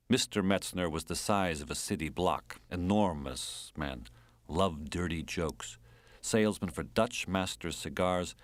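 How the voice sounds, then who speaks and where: A male narrator acts out a wry, earthy American man in an audiobook. The voice sits deep and very dry, with an American accent. He reminisces in clipped, colloquial fragments. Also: no room sound.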